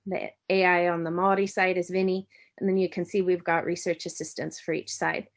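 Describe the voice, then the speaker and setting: A middle-aged American woman giving an online academic presentation over a video call in a friendly way, with frequent ums and self-corrections. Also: on the low side. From United States. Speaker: university lecturer.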